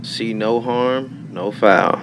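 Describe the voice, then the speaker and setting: A man's voice: mid-range voice, extremely slow, a bit bright, soft background music, low-quality recording. A man playing a sly, cool older boy cousin, coaxing and laid-back, in a narrated story.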